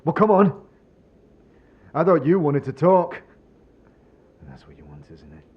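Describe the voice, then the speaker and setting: A man's voice: on the high side, sing-song, unhurried, breathy edge, lo-fi sound, exaggerated voice. An actor playing a bitter, despairing man who raves and mutters theatrically in a fantasy audio drama. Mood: bitter, agitated. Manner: raving, theatrical, swinging from bitter outburst to muttering. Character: despairing, bitter man raving, ready to die.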